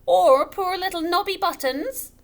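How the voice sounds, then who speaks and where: A woman's voice: very high voice, unhurried, voice pushed up. A woman voicing an angry, grieving widow in a children's story, fierce and indignant. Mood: offended, dangerous. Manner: cold, fierce, rising to screeching. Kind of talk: children's audiobook character voice. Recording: noticeable background noise.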